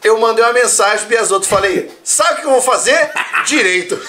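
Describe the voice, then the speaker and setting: A man's voice: very high voice, lively intonation, brisk, sharp tone. A man hosting a podcast in a friendly way, reading out viewers' greetings and chatting with his guest. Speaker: podcast host. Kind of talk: casual chat.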